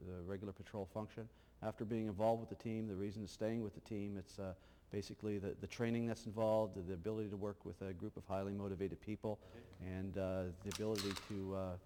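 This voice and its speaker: A man, a staff sergeant leading a tactical team, speaking deliberately in an interview with many fillers. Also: lowish voice, dark tone, big-room sound.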